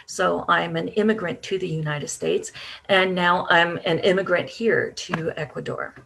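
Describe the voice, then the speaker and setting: A woman guest speaker on a video call telling a personal story, hesitant with many pauses and restarts. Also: lowish voice, steady tone, creaky, a bit bright, husky. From Japan.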